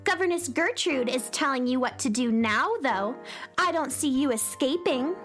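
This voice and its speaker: A woman's voice: sits high, expressive, moderate pace, fairly bright tone, faint music behind. A woman playing an earnest, perky little girl space heroine in a children's radio comedy, exclaiming with indignation. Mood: indignant. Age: child figure.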